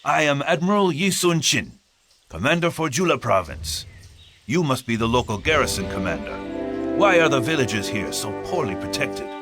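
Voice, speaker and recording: An actor playing an honorable, commanding admiral in a video game, speaking in a formal, stately way. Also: male voice, mid-range voice, very animated, normal speed, fairly bright tone, soft background music. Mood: stern. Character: honorable Korean admiral, commanding yet courteous man. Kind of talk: scripted video game voice acting.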